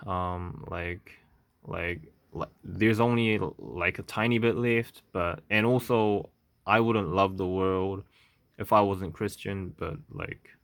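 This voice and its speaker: A man from South Korea speaking English with a Korean accent, talking to camera in a halting, stuttering way with many fillers and "okay?" checks. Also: low voice, fairly bright tone, dry recording. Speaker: vlogger. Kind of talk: unscripted monologue to camera.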